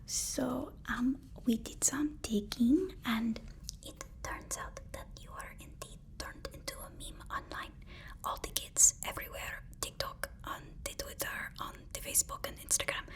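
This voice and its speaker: A woman playing a nervous, fawning personal assistant to a super villain in an ASMR roleplay, soft-spoken, deferential and hesitant. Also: on the high side, moderate pace, vocal fry. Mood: nervous, careful.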